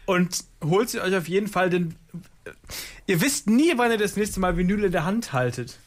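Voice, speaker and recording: A man's voice: sits high, expressive, moderate pace. A man hosting a radio show in a casual, rambling and playfully ironic way. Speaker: radio host. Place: radio studio.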